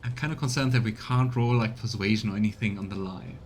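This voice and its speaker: A man's voice: fairly low pitch, quick pace, darkish tone, slight background noise. A man casually commentating on a video game, musing aloud and chatting with his viewers as he plays. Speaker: streamer doing a voiced let's play.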